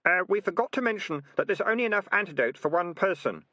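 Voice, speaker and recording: A calm young interrogator from a storybook voiced by a man, with quiet menace. The delivery is pointed and dry in wit, with a lightly raised, husky voice and a close, dry sound. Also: high voice, fast talker, dry recording, voice pushed up, exaggerated voice. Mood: cool, probing.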